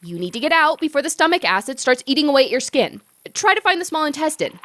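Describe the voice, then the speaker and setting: A woman's voice: high-pitched, very animated, quick pace, a bit bright, no echo. A woman playing an earnest, anxious scientist who gives urgent, commanding instructions in an audio drama. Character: earnest, urgent young scientist coaching a trapped friend.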